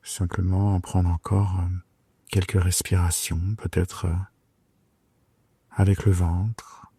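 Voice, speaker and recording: A man leading a guided sleep meditation in a soothing, unhurried, hesitant way with long pauses. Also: sits low, flat delivery, husky, no room sound. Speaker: yoga nidra guide. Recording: soft guitar music behind the voice. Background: gentle plucked guitar music.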